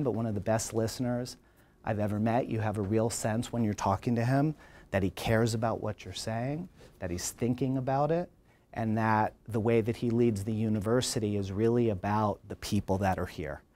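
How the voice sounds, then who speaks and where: A middle-aged man with a New Jersey accent, introducing a speaker to students in a large lecture hall with an earnest, animated manner. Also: on the low side. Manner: earnest, animated, gesturing while talking. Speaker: college dean introducing a speaker.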